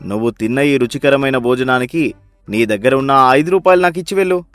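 A man's voice: mid-range voice, brisk, a bit bright, soft background music, lightly acted. A man playing a miserly, sly rich husband in a story, with a bossy, scolding, sarcastic manner. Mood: falsely kind, sly.

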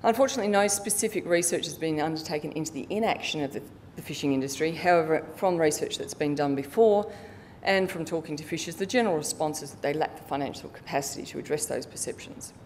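A middle-aged woman with a fairly low voice presents formally from a lectern. She reads prepared material in a measured way, with the odd stumble. The hall adds a long reverberant tail, and a faint rumble sits behind her. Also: a little mellow. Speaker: conference presenter, researcher. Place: conference hall stage, indoors. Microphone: lectern microphone.

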